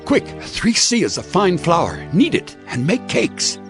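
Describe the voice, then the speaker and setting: A man's voice: high voice, wildly swooping pitch, slow, faint music behind, heavily acted. A man playing a reverent, eager old patriarch in a dramatized scripture reading, earnest and pleading. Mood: urgent.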